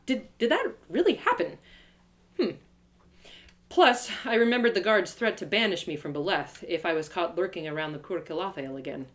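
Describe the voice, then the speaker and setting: A woman reading a first-person story aloud live, as its storyteller, in a dramatic, expressive way. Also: lowish voice, normal speed. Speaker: author and storyteller.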